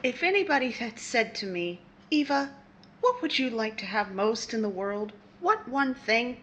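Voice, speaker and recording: An actress playing an earnest, wistful young wife on trial in a 1950s radio melodrama. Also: female voice, a little high, lively intonation, moderate pace, fairly bright tone, a little hiss or hum. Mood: wistful, pleading.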